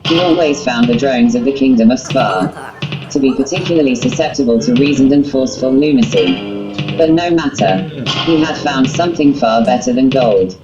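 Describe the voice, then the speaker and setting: Very low-voiced woman narrating, muffled. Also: normal speed. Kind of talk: scripted literary narration. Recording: muffled lo-fi, guitar music behind. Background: plucked guitar music.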